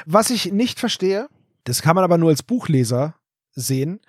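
A man's voice: on the high side, sing-song, moderate pace, slightly rough, dry recording, clean studio sound. A man co-hosting a podcast, retelling a story in a loose, rambling, chatty way. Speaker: podcast co-host. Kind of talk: casual episode recap.

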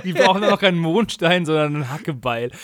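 Fairly high-pitched man, casually joking. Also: lively intonation, fast talker, fairly bright tone, dry recording, studio quality. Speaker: podcast co-host. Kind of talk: casual humorous chat recapping an audio drama.

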